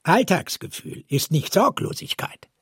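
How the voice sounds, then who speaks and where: A man reads literary prose aloud. His voice is on the high side for a man and very husky, and the sound is dry with little room in it. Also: very animated, measured pace, no echo. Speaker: co-reader. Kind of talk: literary reading of prose and quotations.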